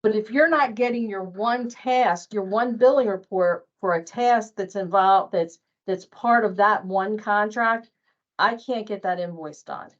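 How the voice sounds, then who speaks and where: Female workplace trainer, mid-pitched and slow-paced, pressing each point firmly in an informal talk. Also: highs cut off. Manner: emphatic, repeats points for stress. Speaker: billing staff trainer.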